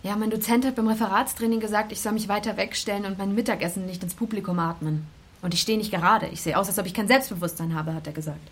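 An actress playing an insecure, easily flustered young student in a naturalistic radio drama, with halting delivery. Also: female voice, mid-range voice, a little hiss or hum, natural voice. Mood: downcast, unsure. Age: young adult. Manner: unsure, halting.

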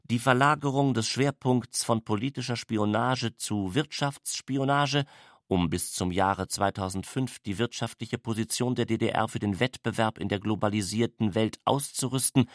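A man reading scripted literary narration in a measured, formal, factual manner. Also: mid-range voice.

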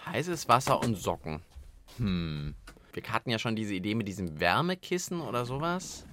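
A man's voice: fairly low pitch, sing-song, moderate pace, a bit bright, slight background noise. A man co-hosting a children's podcast in a studio, bantering casually while trying out experiments.

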